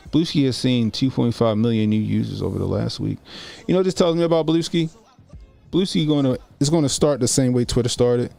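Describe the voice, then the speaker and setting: A man's voice: mid-range voice, creaky, a little mellow. A man in his forties doing casual political commentary on a stream, slangy and loose, repeating himself as he talks. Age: 40s.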